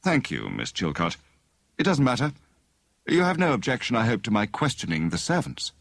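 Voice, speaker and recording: A man voicing a brisk, confident gentleman detective in an audiobook, authoritative and courteous. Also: mid-range voice, huge pitch swings, creaky, dry recording, clean studio sound, voice pushed up, exaggerated voice. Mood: courteous, businesslike.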